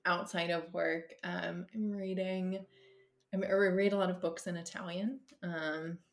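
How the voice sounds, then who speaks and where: A woman's voice: on the low side, steady tone, measured pace. A woman therapist, a podcast guest, talking casually with many fillers, restarts and easy laughs. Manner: laughs easily. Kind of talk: casual conversation.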